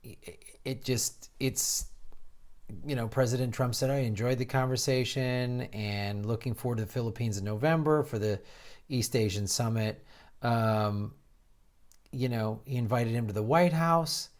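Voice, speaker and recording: An American man talks politics as a show host, off the cuff and exasperated. His voice sits at an ordinary middle pitch. He stumbles into false starts and repeats himself, with a mocking, incredulous edge as he comments. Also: unhurried. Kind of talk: casual political commentary with read-out quotes.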